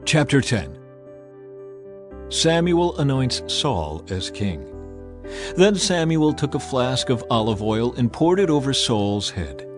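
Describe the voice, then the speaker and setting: A man's voice: medium pitch, sing-song, slow. A man narrating scripture as a scripted, dramatized audio reading. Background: music.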